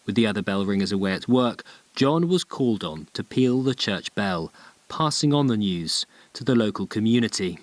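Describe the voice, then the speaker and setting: A man's voice: lowish voice, animated, normal speed, dry recording. A man with a British accent reading scripted narration for a radio news feature as a reporter.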